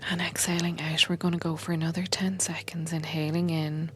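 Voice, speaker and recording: A woman guiding a meditation in a gentle, unhurried, soothing way, often softening toward a breathy whisper. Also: low-pitched, little pitch movement, a little hiss or hum. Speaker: meditation guide and coach, podcast host. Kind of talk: guided meditation, soothing slow instruction.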